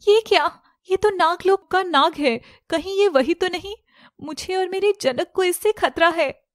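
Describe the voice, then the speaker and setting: A woman's voice: very high voice, a little slow, no echo, studio quality, pitched above the performer's own voice. A woman voicing a bold, curious young woman in love in a cartoon fairy tale. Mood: alarmed.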